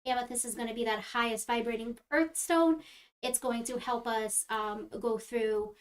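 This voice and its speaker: A woman who works as a crystal healer earnestly explains the stones on a live stream, with many small pauses. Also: on the high side, fairly even intonation. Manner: earnest, explanatory, frequent ums.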